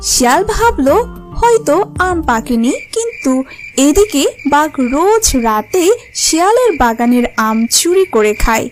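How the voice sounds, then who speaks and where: High, lively woman narrator. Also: unhurried, faint music behind. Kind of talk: scripted children's cartoon story narration.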